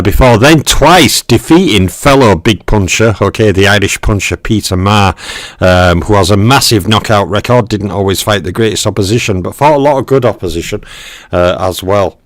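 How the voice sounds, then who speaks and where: A man's voice: lowish voice, no room sound, clean studio sound. A man hosting a boxing history channel, talking through a fighter's record in a casual, filler-heavy way with lots of okays and ums. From Western Europe. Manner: greets viewers in live chat. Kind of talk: casual narrated stat breakdown.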